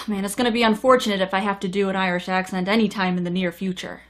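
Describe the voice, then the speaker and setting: Female reviewer for a comedic review show; sardonic and profane, mid-pitched voice. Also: steady tone, fast talker, over loud music. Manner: sardonic, swears casually. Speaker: film reviewer.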